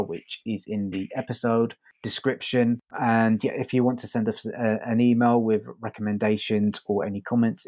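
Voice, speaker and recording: A podcast co-host chatting casually and informally, with a somewhat hesitant, halting delivery. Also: mid-range voice, darkish tone, dry recording. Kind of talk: casual chat, audio drama review.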